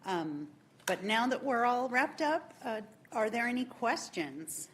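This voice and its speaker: A woman's voice: a little high, very animated, measured pace, slight background noise. A woman hosting a public workshop who closes the presentation and answers questions in a polite, explanatory way. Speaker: workshop host.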